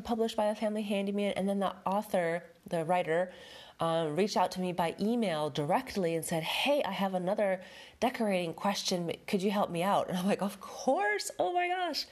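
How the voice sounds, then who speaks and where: A woman's voice: mid-range voice, darkish tone. A middle-aged woman hosting a home decorating podcast, chatting casually with lots of fillers while stuffed up from a head cold. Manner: chatty, rambling, many fillers. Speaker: podcast host, home stager. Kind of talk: casual solo podcast talk.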